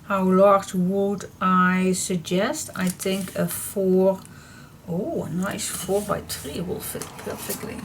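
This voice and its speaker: Slow, slightly hoarse crafting woman. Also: mid-range voice, background noise. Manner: chatty, slow and relaxed, talks to herself while she works. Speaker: papercraft tutorial host. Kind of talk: casual crafting tutorial talk.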